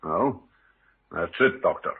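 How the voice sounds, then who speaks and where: An actor playing a measured, courteous, shrewd police inspector in an old radio drama, understated and authoritative. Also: male voice, low-pitched, expressive, exaggerated voice. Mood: composed. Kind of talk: 1950s radio drama acting. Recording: tinny old radio, faint hiss.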